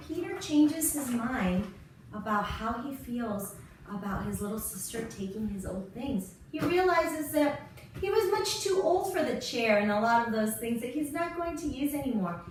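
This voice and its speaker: A woman's voice: fairly high pitch, distant mic. A strongly reverberant recording of a woman teaching young children, a little away from the mic. She explains simply and asks questions, with faint noise behind her. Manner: explains simply, posing questions and answering them.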